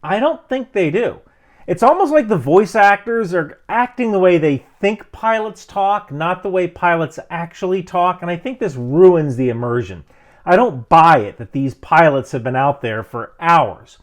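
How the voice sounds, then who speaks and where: A middle-aged American man giving emphatic, instructive commentary as a video host. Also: a little high. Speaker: video host, military veteran. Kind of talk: explanatory commentary to camera.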